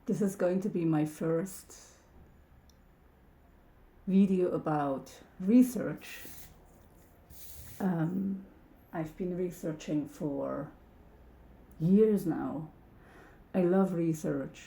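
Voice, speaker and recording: A woman's voice: on the low side, very slow, gravelly, pauses often, mellow, roomy sound, low-quality recording. A woman whose first language is Turkish, talking casually to her channel's viewers, hesitant and full of ums and restarts. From Turkey. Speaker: channel host. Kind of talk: casual talk to camera.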